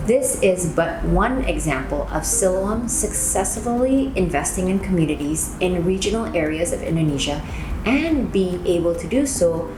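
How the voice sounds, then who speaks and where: A woman's voice: lowish voice, animated, moderate pace. Woman presenting formally in an echoey, noisy room. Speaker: company executive. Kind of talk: formal scripted business presentation. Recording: echoey room with clear background noise.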